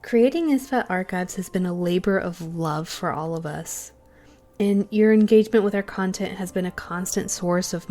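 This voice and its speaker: A woman's voice: lowish voice, darkish tone. A woman delivering a scripted thank-you announcement to podcast listeners as a member of the cast.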